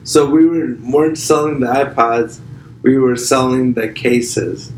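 A man from Pakistan chatting as a podcast guest, starting and restarting his sentences with lots of fillers. His voice sits at an ordinary pitch, and the room around him rings with echo over a faint hum of background ambience. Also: fairly even intonation. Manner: halting, restarts with many fillers. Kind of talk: casual conversation.

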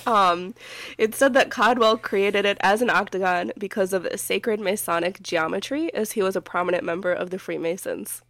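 A woman's voice: medium pitch, steady tone, normal speed, a bit bright, dry recording. A woman hosting a casual podcast chat while getting over a cold, scratchy in the throat and rambling with frequent giggles. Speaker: podcast host.

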